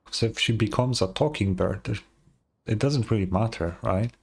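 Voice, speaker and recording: A man's voice: on the low side, moderate pace, vocal fry, a little mellow, husky. A man casually reacting to a video game as he streams it.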